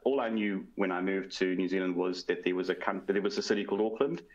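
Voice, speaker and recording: A man's voice: sits low, quick pace, highs cut off. A man in his forties with a South African accent, a guest answering interview questions over a video call from home, fluent but with frequent ums and uhs. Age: 40s. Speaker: guest, product strategy manager. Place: home room. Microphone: headset on video call.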